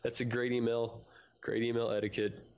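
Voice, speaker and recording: A man's voice: mid-range voice, level tone, moderate pace, darkish tone, clear, resonant tone. A man co-hosting a fan podcast, chatting casually and at times reading aloud. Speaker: podcast co-host.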